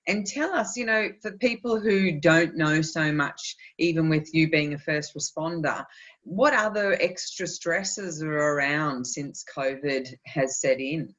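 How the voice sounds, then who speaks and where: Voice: mid-range voice, a little slow, a bit bright. A festival host opens a chat session and interviews a guest, giving brief encouraging replies between questions. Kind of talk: friendly scripted interview questions. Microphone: video call. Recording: dull lo-fi audio.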